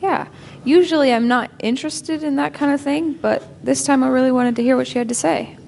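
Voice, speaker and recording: A young woman playing a sincere, eager niece, speaking earnestly and a little haltingly in natural dramatic dialogue. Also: sits high, gravelly, a little mellow, a little hiss or hum. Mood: earnest, glad.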